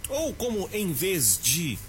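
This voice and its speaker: A man with a Minas Gerais accent giving a Portuguese tip on the radio, slowing down to stress each example. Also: high-pitched, wildly swooping pitch, slight background noise. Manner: didactic, slows down to stress examples. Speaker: Portuguese teacher.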